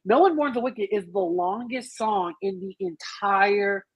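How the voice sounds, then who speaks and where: A woman's voice: medium pitch, lively intonation, unhurried. A woman in a casual group podcast discussion, speaking in an emphatic, playful, slangy way as a panelist. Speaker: podcast panelist reviewing a film musical.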